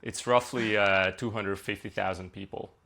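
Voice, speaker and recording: Hungarian-born man, low voice, chatty. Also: steady tone, moderate pace, gravelly, sharp tone. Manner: conversational with frequent uh and like fillers. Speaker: software engineer guest. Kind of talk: podcast interview, relaxed storytelling.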